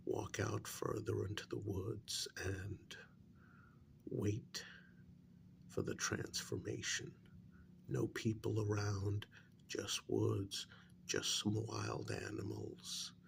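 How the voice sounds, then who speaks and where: A man playing a calm government agent interrogating a captured werewolf in a soft-spoken ASMR role-play, breathy and often near a whisper, measured and quietly coaxing. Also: fairly low pitch, full character voice. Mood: calm, matter-of-fact.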